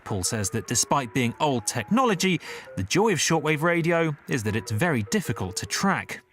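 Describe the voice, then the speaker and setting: Man narrating with lively, swooping pitch. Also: normal speed. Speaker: documentary narrator. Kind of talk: scripted investigative narration.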